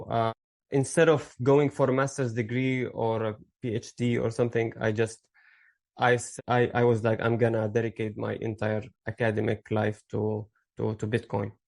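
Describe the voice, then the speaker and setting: A man from Syria in his thirties, with a mid-pitched voice, speaks as a podcast guest. He rambles through his story, stopping and restarting often and leaning on fillers. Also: fairly even intonation, moderate pace, vocal fry. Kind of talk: casual interview storytelling.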